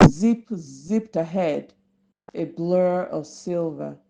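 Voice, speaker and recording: A woman narrating a folktale for young children as a storyteller, deliberate and instructive. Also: lowish voice, dark tone. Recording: slightly dull lo-fi recording.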